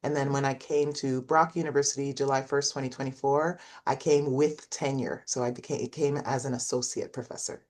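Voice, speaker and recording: A woman's voice: very deep voice, fairly even intonation, a little fast, few pauses, darkish tone. A middle-aged woman, a professor, talking in an interview in a reflective storytelling way. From Canada. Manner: reflective, storytelling, acts out quoted voices. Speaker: guest, professor. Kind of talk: interview conversation.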